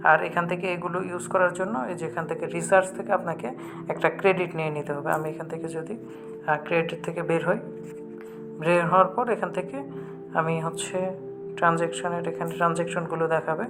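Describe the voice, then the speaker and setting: A man's voice: a little high, level tone, fast. A man with a Bangladeshi accent hosting a tech tutorial, explaining an app step by step in an instructional way. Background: background music.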